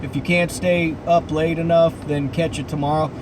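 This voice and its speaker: A man with a somewhat high voice talks loosely to his livestream audience. He rambles and hesitates in relaxed slang, his throat a bit froggy. Loud outdoor noise, like vehicles, runs behind him. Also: normal speed. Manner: rambling, hesitant, laid-back, slangy delivery. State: froggy throat, voice starting to give out. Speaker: livestream host and urban explorer. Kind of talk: casual livestream chat with viewers.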